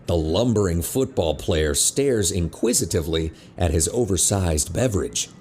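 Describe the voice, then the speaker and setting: A man's voice: deep voice, lively intonation, moderate pace, darkish tone, slight background noise. A man narrating a scripted teen audio drama as a wry storyteller. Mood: descriptive.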